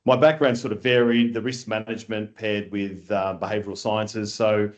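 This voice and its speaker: Chatty Australian-accented man, low voice. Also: normal speed, highs cut off. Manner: animated, rambling, lots of fillers and restarts. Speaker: guest, industry scheme chief executive. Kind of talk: casual conversational interview.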